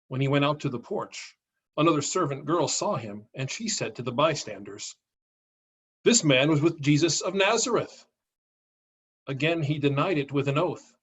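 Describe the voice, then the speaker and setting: A middle-aged man reads scripture as drama, in the manner of a pastor. His voice is husky and a little high for a man, heard over a video call. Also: a bit bright. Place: indoor room, on a video call.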